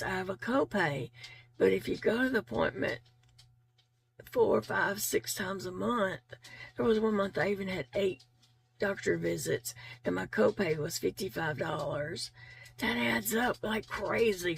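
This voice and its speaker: Raspy, gravelly-voiced woman vlogger. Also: mid-range voice, a little slow. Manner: earnest, grateful, repeats words with fillers. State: losing her voice. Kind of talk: casual heartfelt chat to viewers.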